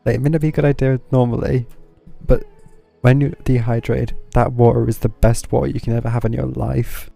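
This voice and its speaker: Mellow, creaky-voiced male game streamer. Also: medium pitch, level tone, fairly quick, soft background music. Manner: rambling, offhand asides to viewers. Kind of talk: casual live game commentary.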